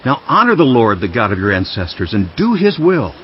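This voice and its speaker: A man's voice: medium pitch, sing-song, fairly quick, lo-fi sound, slight background noise, close to an everyday voice. An actor playing a stern priest who addresses his people in a commanding, declamatory way in a scripture audio drama. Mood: stern rebuke.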